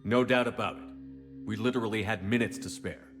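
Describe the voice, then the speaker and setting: Game lead: male actor as unflinching military commander, fairly deep, terse, matter-of-fact delivery. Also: brisk, close to an everyday voice. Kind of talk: cinematic science fiction game dialogue. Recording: music under the dialogue.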